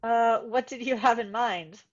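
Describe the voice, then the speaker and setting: A woman's voice: a little high, unhurried. A woman reading the part of a guarded, cool young woman in a screenplay table read, with a dry, understated delivery. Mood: casual. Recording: dull, lo-fi voice recording.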